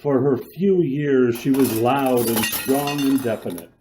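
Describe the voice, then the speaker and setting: A man narrating an old-time radio suspense drama in a theatrical, measured storytelling manner. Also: mid-range voice, fairly even intonation, noisy background, close to an everyday voice. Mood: dramatic. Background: music.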